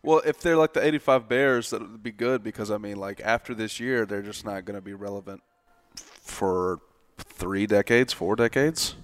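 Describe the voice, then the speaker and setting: A man's voice: lowish voice, normal speed. A young man riffs in a loose, joking way with his co-hosts on a sports podcast. His voice trails off into a long reverb, as if the room is big and hard-walled. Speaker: podcast co-host. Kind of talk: casual sports chat.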